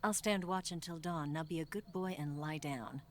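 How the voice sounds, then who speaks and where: Low-voiced, cool female spy played by a woman. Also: moderate pace, slight background noise, natural voice. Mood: teasing, coy. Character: cool, teasing female spy, confident and guarded. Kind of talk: video game cutscene voice acting.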